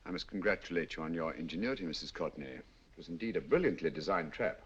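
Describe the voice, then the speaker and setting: A man playing a shrewd, calm detective in an old radio drama, speaking in a measured, courteous, dryly confident way. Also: sits low, husky, exaggerated voice. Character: shrewd, calm male detective, middle-aged. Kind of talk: 1940s radio acting. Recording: dull old radio audio.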